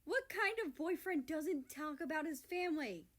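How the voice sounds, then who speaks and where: A woman's voice: high-pitched, lively intonation, normal speed, pitched above the performer's own voice. A woman voicing a blunt, bickering but friendly younger brother in a read-aloud story. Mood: indignant.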